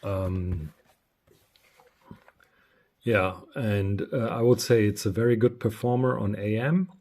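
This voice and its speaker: A man giving a casual, unscripted product review, speaking haltingly with frequent pauses. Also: fairly low pitch, darkish tone. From Germany. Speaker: radio reviewer.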